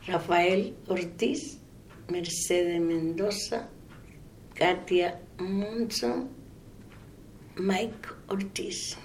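A woman in her eighties from the Dominican Republic with a Dominican accent, giving a soft-spoken oral history interview. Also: fairly low pitch, drawn-out words, long pauses, a little hiss or hum. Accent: Dominican Spanish. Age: 80s. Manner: soft-spoken, trailing off into quiet.

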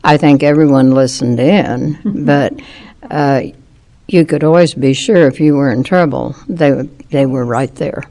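A woman's voice: rumbling low, measured pace, warm tone. A woman in her eighties from Kansas reminiscing in an interview, speaking hesitantly. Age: 80s. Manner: hesitant, with many uh fillers.

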